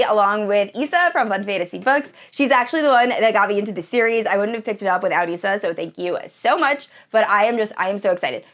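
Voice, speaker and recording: A woman's voice: medium pitch, a bit bright. A woman in her thirties chatting casually and enthusiastically to viewers as a book vlogger, with a gushing manner. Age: 30s. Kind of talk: casual chat to viewers about books.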